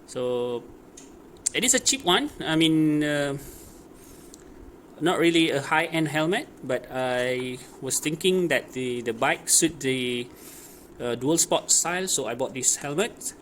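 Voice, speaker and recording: A man giving a casual hands-on how-to, speaking in a hesitant, improvised way with searching pauses. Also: fairly high pitch, lively intonation, fairly bright tone. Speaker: DIY tutorial presenter. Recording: clear background noise, ambient sound.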